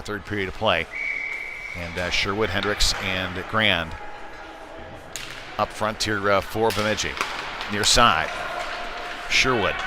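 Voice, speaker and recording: A man doing live hockey play-by-play in halting, off-the-cuff phrases, as the announcer on a game stream. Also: fairly low pitch. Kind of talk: live sports commentary. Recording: noisy live rink stream.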